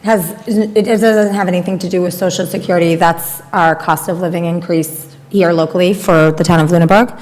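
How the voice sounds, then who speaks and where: A woman on the town finance staff explains budget items to a committee, firm and matter-of-fact. Her voice is a little low for a woman, and a long reverb from the meeting room hangs behind it. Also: fairly even intonation, moderate pace, a little mellow.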